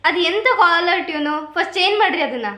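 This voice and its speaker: A young woman with a very high, bright voice and a Kannada accent. She talks quickly and banters pushily, like a vlogger on a prank call. The room sounds echoey. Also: expressive. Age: young adult. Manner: pushy, bantering, rapid questioning. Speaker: vlogger making prank calls. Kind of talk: casual teasing phone chat. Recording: roomy, echoey indoor sound.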